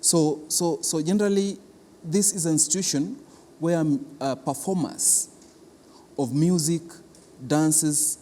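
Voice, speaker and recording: A man with a Kenyan accent giving explanatory interview answers as an arts institution official, halting and deliberate, often repeating words for emphasis. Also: on the high side, dark tone. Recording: long reverberant hall, clear background noise.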